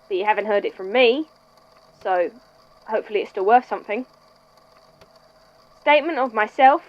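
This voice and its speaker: A woman playing a composed, wry institute head in a scripted audio drama, speaking in a measured, conversational way. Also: medium pitch, dull audio, close to an everyday voice. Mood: teasing. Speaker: podfic voice actor, institute head narrating a statement.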